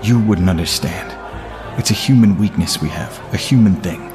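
A man's voice: sits low, normal speed, natural voice. An actor playing a brooding, haunted retired male vigilante in an audio drama, grave and confiding. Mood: haunted, confessional. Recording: music behind the voice.

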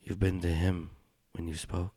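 A man voicing an ancient male spider-bodied outcast creature who speaks slowly in a hushed, halting way, often near a whisper. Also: deep voice, little pitch movement, slightly rough. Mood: solemn. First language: not English. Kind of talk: in-character tabletop roleplay.

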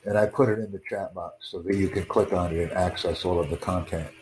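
A man's voice: sits low, steady tone, fast, a little mellow, slight background noise, poor audio. A man hosting a casual video chat, earnestly promoting his language course and repeating himself. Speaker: language-learning channel host.

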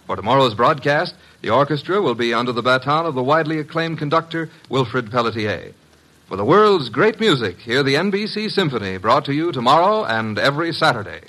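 A man's voice: medium pitch, big pitch swings, a little fast, sharp tone, low-quality recording. A man announcing a 1950s radio show in a grand, theatrical, formal style. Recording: vintage 1950s radio recording. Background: music.

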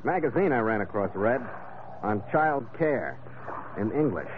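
A man's voice: mid-range voice, lively intonation, a little slow, noisy background, natural voice. An actor playing a wry, terse ship's captain who narrates his adventure in an old-time radio drama. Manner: terse, dry, hard-boiled. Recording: tinny vintage radio, music behind.

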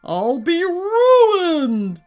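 Woman putting on a high-pitched, melodramatic giant alien villain. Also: wildly swooping pitch, very slow, a little mellow, soft background music, heavily acted. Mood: bellowing, outraged. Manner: wailing, bellowing, melodramatic. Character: giant red alien villain, oversized, male, blustering and weepy.

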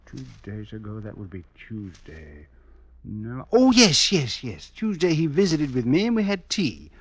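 A man's voice: mid-range voice, wildly swooping pitch, measured pace. A man acting a middle-aged physician in an old-time radio drama, with formal, precise and pompous diction. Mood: evasive. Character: genteel, composed physician husband hiding his guilt.

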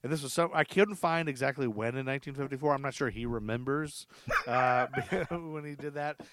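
A man's voice: medium pitch, fairly quick, a bit bright, no echo. A man hosting a podcast, telling stories casually and comically, chatty and amused, repeating facts for emphasis. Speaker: podcast host.

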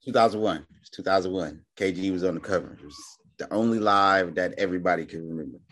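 A man in his thirties, guest on his brother's podcast, telling a story in relaxed casual chat. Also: sits low, fast. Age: 30s. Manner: laid-back storyteller, lively delivery. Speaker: guest, host's big brother.

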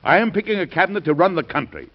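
Older president, forceful and stubborn, dull lo-fi old radio sound. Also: male voice, high voice, very animated, racing, exaggerated voice. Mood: defiant. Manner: blunt, forceful, commanding. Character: stubborn older male president, combative and loyal. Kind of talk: 1940s radio acting.

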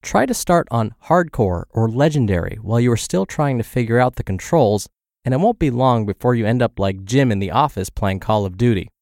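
A man's voice: medium pitch, lively intonation, a little fast, darkish tone, no room sound, clean studio sound. A man hosting a podcast, reading health and fitness blog posts aloud while congested and scratchy from the flu. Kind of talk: read-aloud narration.

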